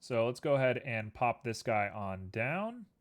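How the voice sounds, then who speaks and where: A man's voice: mid-range voice, measured pace. A young man streaming live, chatting casually and rambling to his viewers while building a 3D scene. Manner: relaxed, rambling, talks to chat and to objects on screen.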